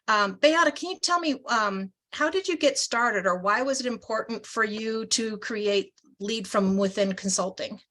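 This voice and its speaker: A woman's voice: on the high side, moderate pace, hardly any pauses, fairly bright tone. A woman hosting an online group, introducing her guest from a bio and stumbling over the words with many ums.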